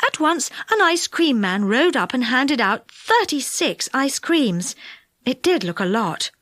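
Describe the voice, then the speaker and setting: A woman narrating a children's storybook in an expressive, animated way. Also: sits high, a little slow, dry recording, clean studio sound. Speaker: audiobook narrator.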